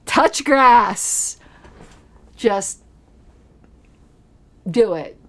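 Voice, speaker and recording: A woman's voice: medium pitch, a bit bright. A woman streaming a casual political commentary show from her basement, talking in a rambling, chatty way with lots of fillers and restarts. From Colorado. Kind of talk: casual solo livestream chat. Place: home basement.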